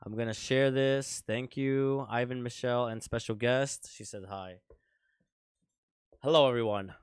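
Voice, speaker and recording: A man's voice: mid-range voice, fairly even intonation, a little slow, clear, resonant tone, no echo, studio quality. A man hosting a casual podcast. He chats loosely, laughs often and restarts his sentences. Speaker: podcast host. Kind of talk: casual chat.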